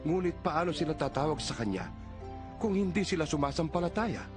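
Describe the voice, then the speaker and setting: A man narrates scripture with a lively, expressive range of pitch. His delivery is earnest and measured, explaining as he goes, with music clearly playing underneath. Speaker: audio Bible narrator. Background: background music.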